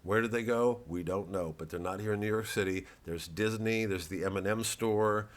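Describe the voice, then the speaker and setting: A comedian rambling through a sardonic, profane monologue full of digressions. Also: male voice, on the low side, normal speed.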